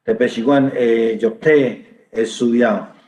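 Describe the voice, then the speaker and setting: A male pastor with a Taiwanese accent leads a prayer in earnest. His voice sits at a medium pitch, and the recording has a clearly roomy echo. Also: steady tone, measured pace, a little mellow, a little hiss or hum. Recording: roomy, echoing sound.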